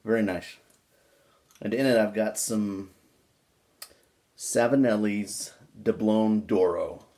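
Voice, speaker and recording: A man with a folksy, informal manner, chatting casually and reading aloud from a book in an unhurried way. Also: fairly low pitch, steady tone, mellow, slight background noise. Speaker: pipe-smoking video creator reading a book aloud.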